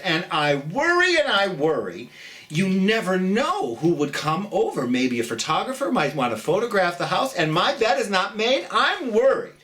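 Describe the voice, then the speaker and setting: An American storytime host reading a picture book to children and chatting in a gentle, theatrical way. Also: mid-range voice, far from the mic.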